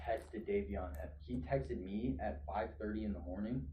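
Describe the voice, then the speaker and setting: A man's voice: lowish voice, normal speed, mellow. A young man casually telling police what he knows as a witness, on a noisy, distant recording. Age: young adult. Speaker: witness, friend of crash victim. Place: police station lobby.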